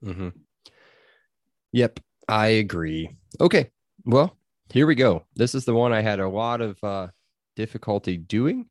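A man with a fairly low voice hosts a relaxed sports podcast. He hands off to his co-hosts and reacts loosely along the way. The sound is close and dry. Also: no echo. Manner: runs the segments, reacts with quick laughs and curses. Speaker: podcast host. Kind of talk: casual sports chat.

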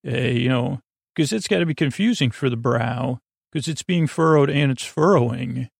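A man with a lot of fry in his mid-pitched voice hosts a sleep podcast. He wanders from tangent to tangent, halting, restarting and interrupting himself. The sound is dry, with no room around it. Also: dry recording. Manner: meandering, halting, stammering, self-interrupting tangents. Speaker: podcast host. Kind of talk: rambling sleep podcast monologue.

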